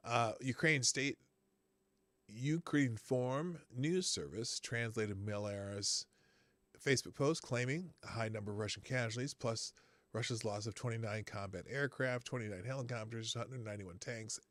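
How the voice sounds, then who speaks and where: Male streamer, mid pitch, dry close sound, stop-start delivery while skimming news. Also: a bit bright, dry recording. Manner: halting, many restarts and fillers, reads articles aloud, chats with viewers. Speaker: host of a live news commentary stream. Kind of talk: live-stream news commentary, reading headlines and chat.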